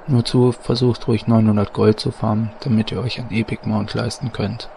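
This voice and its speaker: A man acts a young goth nonconformist, dark and monotone. He is deadpan and scornful in a comic fantasy radio play, with some noise behind him. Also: fairly low pitch, normal speed, vocal fry. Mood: morose, contemptuous. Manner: deadpan, morose. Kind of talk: German fantasy parody audio drama. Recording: background noise.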